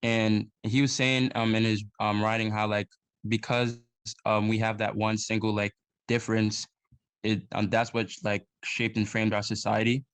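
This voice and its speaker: Casual young man, flat delivery. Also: on the low side, moderate pace, smooth, dry recording. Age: young adult. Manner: casual, filler-heavy. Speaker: program alumnus.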